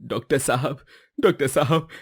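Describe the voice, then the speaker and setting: A man's voice: medium pitch, moderate pace, breathy edge. A man voicing a humble, earnest younger brother in a moral story, speaking politely. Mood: anxious. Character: humble, earnest younger brother who runs a food stall.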